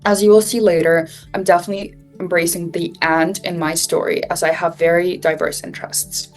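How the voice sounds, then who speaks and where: A woman's voice: fairly low pitch, flat delivery, fairly quick, soft background music. A young woman from Russia who grew up in Switzerland, a college student explaining admissions in a webinar with frequent fillers. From Russia, raised in Switzerland. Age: young adult. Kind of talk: webinar presentation.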